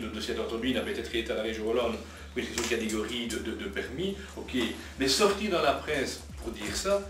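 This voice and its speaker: A man argues his point in a spontaneous political debate, insisting and repeating himself. His mid-pitched voice carries in an echoey room with clear background noise. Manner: argumentative, insistent, repeats phrases. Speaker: local politician in a panel debate. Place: cafeteria. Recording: echoey noisy room, slightly distant.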